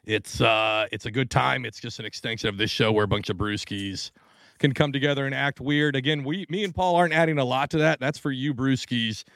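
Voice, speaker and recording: A man's voice: medium pitch, fairly quick, fairly bright tone, no echo. A man hosting a casual podcast, talking loosely with lots of swearing and laughter. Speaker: podcast host. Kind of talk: casual chat.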